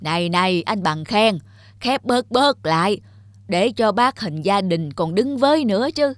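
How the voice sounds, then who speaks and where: A man's voice: sits high, animated, a little slow, fairly bright tone, no room sound, clean studio sound. A man voicing an indignant alarm clock in a cartoon, scolding bluntly on behalf of an elder. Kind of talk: cartoon character acting.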